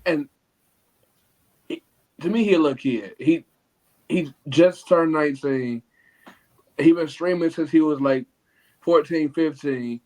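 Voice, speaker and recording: A man co-hosting a wrestling podcast, calmly explaining things to the others. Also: a little high, a little slow, long pauses, poor audio. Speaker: podcast co-host. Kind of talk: casual podcast chat.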